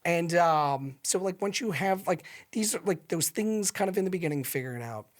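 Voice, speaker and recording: A man's voice: high voice, animated, normal speed. A man with a nasal voice, a podcast guest talking loosely with lots of fillers. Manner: loose, rambling with many fillers. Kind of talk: casual interview chat.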